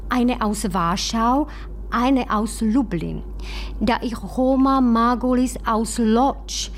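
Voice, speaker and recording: A woman playing a letter writer searching for someone, reading a formal letter in a measured way. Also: on the high side. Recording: faint ambient background noise.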